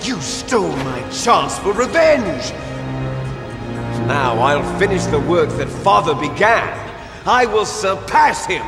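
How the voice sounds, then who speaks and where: An actor playing a bitter, gloating villain twin with grand ambitions in a video game, theatrical and taunting. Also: male voice, high voice, sing-song, takes it slowly, gravelly, full character voice. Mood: raging hatred. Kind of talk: dramatic video game voice acting. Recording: echoey hall reverb under loud music.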